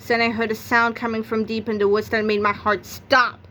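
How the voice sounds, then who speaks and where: Higher-voiced woman reading a children's story, some noise. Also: flat delivery, normal speed, bright, forceful tone. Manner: acts out sound effects and exclamations. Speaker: book narrator. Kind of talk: read-aloud of a children's comic novel.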